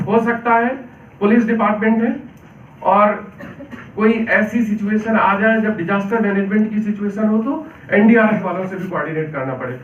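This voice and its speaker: A man with a hoarse throat teaching a seminar to exam aspirants, explaining point by point and putting questions to the room. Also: sits high. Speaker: civil services exam coaching teacher. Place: seminar hall with audience. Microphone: faulty microphone. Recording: echoey dull hall sound from a distance.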